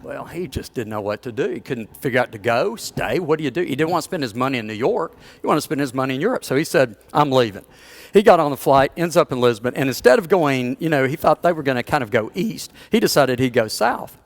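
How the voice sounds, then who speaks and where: A man's voice: medium pitch, animated, fast talker. A male pastor delivering a sermon in a conversational storytelling manner, with chuckles and playful asides to the congregation.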